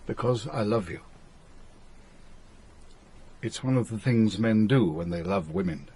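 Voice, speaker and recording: Calm, secretive man. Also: fairly low pitch, measured pace, creaky, slight background noise. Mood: tender, serious. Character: calm, secretive male smuggler, a protective lover. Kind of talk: radio drama acting.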